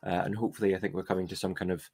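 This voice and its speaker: A man with a low voice and a light Scottish accent, chatting casually to his viewers. He rambles, hesitates and restarts his sentences a lot. He has the easygoing tone of a hobby vlogger talking things over. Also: little pitch movement, very fast, darkish tone, a little hiss or hum. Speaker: toy and claw machine vlogger.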